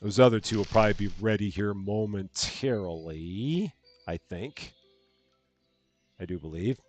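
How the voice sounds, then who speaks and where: A man with a calm, soothing voice, casually talking through a game on a live stream and thinking aloud as he plays. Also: fairly low pitch, measured pace, soft background music. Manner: soft-spoken, rambling, thinking aloud.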